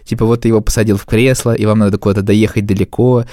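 A man's voice: fairly low pitch, little pitch movement, quick pace, dark tone, dry recording. Casual man, close dry recording. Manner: colloquial, thinking aloud. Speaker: podcast co-host. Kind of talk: casual conversational discussion.

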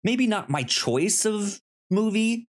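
A podcast co-host chatting casually about movies in a halting way, often repeating sounds and the starts of words. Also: medium pitch, expressive.

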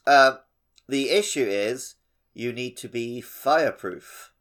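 A man's voice: mid-range voice, slow, fairly bright tone. A man co-hosting a podcast, chatting casually and jokily about video games. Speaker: podcast co-host.

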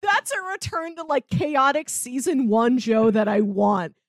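Upbeat woman with a fairly high, dry voice. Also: big pitch swings, measured pace, no echo. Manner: enthusiastic, gushing with laughs. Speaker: podcast co-host. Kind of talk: casual chat.

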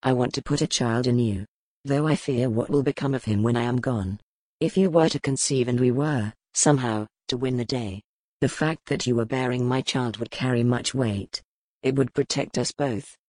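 A woman voicing a grim, powerful male duke, speaking low, measured and brooding in an audiobook. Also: darkish tone, no room sound. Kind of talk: audiobook character voice.

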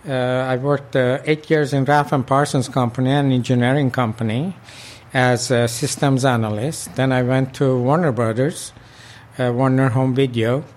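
A man's voice: mid-range voice, fairly even intonation, normal speed, darkish tone. An older man from Iran, a local club president, recalling his past in an oral history interview with many fillers and restarts.

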